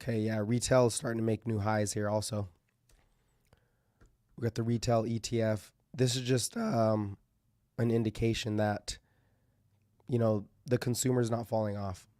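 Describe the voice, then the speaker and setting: A man hosting casual market commentary, thinking aloud with fillers and restarts, his voice going a little hoarse as he clears his throat. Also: fairly low pitch, flat delivery, normal speed, long pauses, a little mellow, no room sound, clean studio sound.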